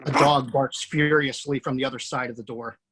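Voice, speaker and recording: Male reader of script action lines, husky, steady delivery. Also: mid-range voice, fairly quick. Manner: steady, matter-of-fact. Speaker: screenplay reader. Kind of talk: reading screenplay action lines.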